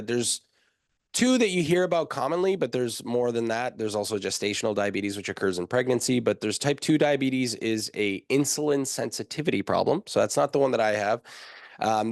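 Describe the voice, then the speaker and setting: A young man talking as a guest on a podcast, explaining things in a casual, quick way with lots of fillers. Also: mid-range voice, no echo, clean studio sound. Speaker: guest, nutritionist.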